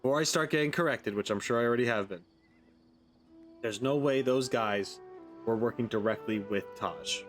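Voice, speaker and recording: A man's voice: medium pitch, moderate pace. Rambling male game streamer, music behind. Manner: rambling, thinking aloud. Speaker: gaming streamer. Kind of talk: casual let's-play commentary.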